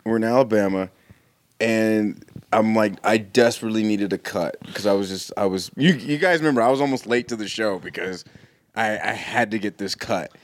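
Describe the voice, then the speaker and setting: A man's voice: fairly low pitch, moderate pace. A man from Los Angeles telling rambling, laughing stories in casual podcast chat. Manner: rambling, laughing, self-deprecating.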